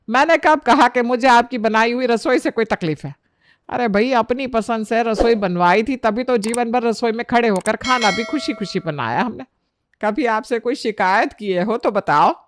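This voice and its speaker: A woman's voice: on the high side, expressive, moderate pace, a bit bright, no echo, clean studio sound, voice pushed up. A woman voicing a fussy, worried middle-aged Indian mother-in-law in a story, with emphatic, chiding delivery. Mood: exasperated.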